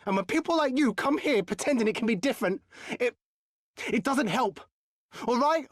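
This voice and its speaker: An actress playing a bitter, frightened and downtrodden young villager, blunt and emotional, in a video game cutscene. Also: female voice, a little high, animated, normal speed, no room sound, studio quality. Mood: bitter, despairing. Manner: blunt, emotional, plain-spoken.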